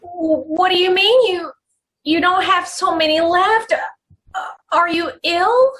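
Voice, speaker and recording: A woman acting a devoted young man's sweetheart in a radio play, with a very high voice and slow delivery. She is earnest and sorrowful, faltering as she speaks. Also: a bit bright, heavily acted. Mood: anguished, loving. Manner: halting, earnest, repeats herself. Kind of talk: old time radio drama acting.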